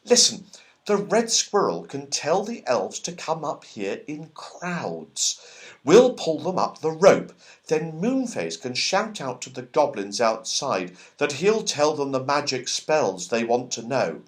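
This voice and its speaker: A man's voice: medium pitch, sing-song, slow pace, pitched above the performer's own voice. A man voicing a keen, sensible young boy in a children's storybook. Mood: excited.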